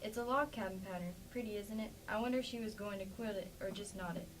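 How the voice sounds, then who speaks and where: A woman's voice: mid-range voice, fast talker, lightly acted. A woman playing a plainspoken, defensive farmer's wife in an amateur staged play reading. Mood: plain, musing. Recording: distant mic with faint background noise.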